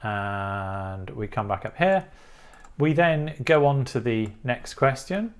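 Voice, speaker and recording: A man's voice: mid-range voice, lively intonation, takes it slowly, clean tone. Man methodically explaining a technical tutorial. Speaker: host of an electronics tutorial channel. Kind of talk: informal step-by-step tutorial narration.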